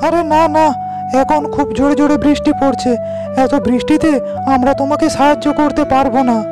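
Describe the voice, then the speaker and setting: A man's voice: very high-pitched, fairly even intonation, fairly quick, over loud music. A man voicing a brusque, unhelpful village passer-by in a cartoon moral story. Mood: dismissive. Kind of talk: animated moral story dialogue.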